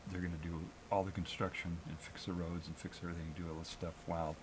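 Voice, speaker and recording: An American man chatting casually and unscripted on a solo livestream, in a halting, hesitant, self-critical way. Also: deep voice, level tone, background noise. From New England, US. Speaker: amateur live streamer.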